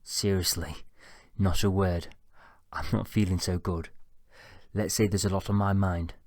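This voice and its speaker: A man voicing a tense young man who speaks in a low, cold, controlled way. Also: level tone, a little slow, no room sound, clean studio sound. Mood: coldly threatening. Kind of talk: audiobook character voice.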